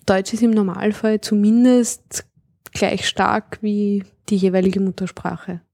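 A middle-aged woman, a linguist, explaining her ideas in a measured conversational way while she searches for precise words. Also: mid-range voice, level tone, gravelly, warm tone, no room sound, clean studio sound. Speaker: linguist guest.

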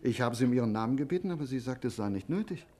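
An actor playing a courteous, evasive and fussy hotel owner in a 1950s German radio drama. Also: male voice, medium pitch, animated, very fast, dark tone, lightly acted. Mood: flustered. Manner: polite, fumbling, defensive.

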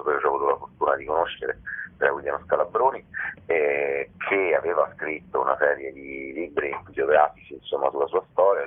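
Very deep, husky man on phone. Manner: halting, explanatory, often pausing mid-sentence. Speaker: theatre author and actor, interview guest. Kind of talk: informal radio interview answers. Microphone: telephone. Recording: tinny, narrow phone line.

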